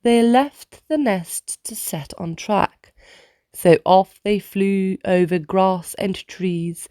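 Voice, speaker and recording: Woman storyteller, children's rhyming read-aloud, lowish pitch, unhurried, dry close sound. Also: dark tone, no room sound.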